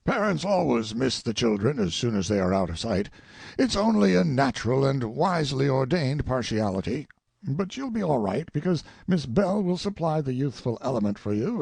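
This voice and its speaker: Guy doing a gushy old colonel, higher voice, gravelly and dry. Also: dark tone, no room sound, heavily acted. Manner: effusive, rambling, exclamatory. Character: boastful eccentric older male colonel. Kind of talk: audiobook character reading.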